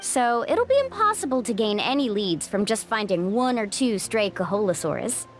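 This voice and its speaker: Cheerful young tour guide girl, voiced by a woman, upbeat and bouncy, soft game music. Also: medium pitch, sing-song, normal speed, lightly acted. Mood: explaining. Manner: upbeat, playful, confident. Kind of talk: video game voice acting. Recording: game audio with music behind.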